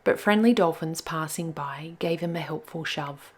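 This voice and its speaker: A woman's voice: low-pitched, moderate pace, slightly rough. A woman storyteller reading a rhyming children's picture book aloud with expression.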